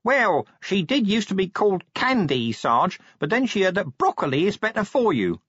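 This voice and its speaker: A man's voice: high-pitched, sing-song, normal speed, fairly bright tone, husky, dry recording, highs cut off, pitched above the performer's own voice, full character voice. A man voicing a cheeky, grubby male watch corporal in an audiobook, chatty and matey in informal working-class speech. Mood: eager. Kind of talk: audiobook character voice.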